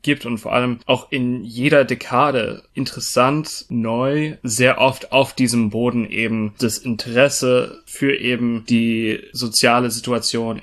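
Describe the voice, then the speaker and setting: A man's voice: mid-range voice, fairly even intonation, a little slow, hardly any pauses, fairly bright tone. A man in his thirties co-hosting a casual film podcast, speaking hesitantly with many ums and uhs. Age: 30s. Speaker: podcast co-host. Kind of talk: casual film review chat.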